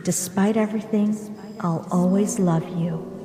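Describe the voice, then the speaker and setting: A woman voicing a caring female confidante, earnest and gently reproachful, in a dramatic comic dub. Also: medium pitch, steady tone, measured pace, a crowd in the background, close to an everyday voice. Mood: earnest, sorrowful. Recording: echoey long reverb.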